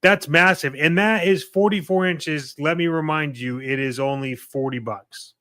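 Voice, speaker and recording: Bright, clear-voiced man chatting casually. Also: on the high side, normal speed, no echo, clean studio sound. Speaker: podcast co-host discussing collectible toys. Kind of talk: casual unscripted chat.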